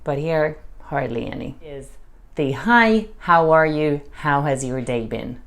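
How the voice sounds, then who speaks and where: A woman's voice: low-pitched, slow, fairly bright tone. A woman from Hungary with a Hungarian accent, talking casually and reflectively to camera in a vlog. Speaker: vlogger.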